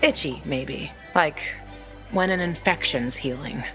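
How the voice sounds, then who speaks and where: A low-voiced, tough young woman. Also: unhurried, a bit bright. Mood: candid, reflective. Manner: candid, wry, swearing. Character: tough, candid young woman fighter, guarded but healing. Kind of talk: video game cutscene acting. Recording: music behind the voice.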